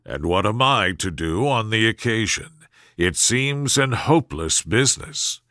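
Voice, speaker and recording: A man voicing a dry, sardonic older father from a classic novel, speaking in calm, deliberate deadpan. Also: lowish voice, no echo. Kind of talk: storybook character voice in classic novel reading.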